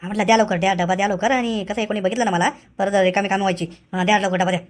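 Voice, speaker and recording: A woman's voice: medium pitch, fairly even intonation, very fast, close to an everyday voice. A woman voicing an impatient, money-minded village man in a comedy cartoon, repeating phrases. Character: hurried, money-minded male village go-between. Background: faint music.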